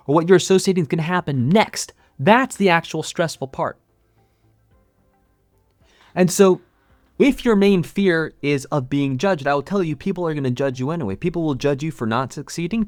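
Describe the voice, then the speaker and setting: Higher-voiced man coaching, very dry recording. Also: no echo. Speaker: music coach and channel host. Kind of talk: motivational talk to camera, explaining.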